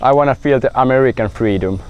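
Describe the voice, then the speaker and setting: A man's voice: mid-range voice, quick pace, muffled recording, a little hiss or hum. A teenage exchange student boy from Finland, giving short, eager answers.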